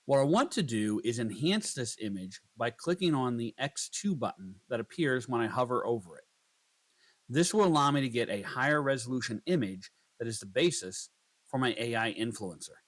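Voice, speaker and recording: A man narrating a scripted software tutorial, explaining each step in turn as a voice-over. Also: mid-range voice, expressive, moderate pace.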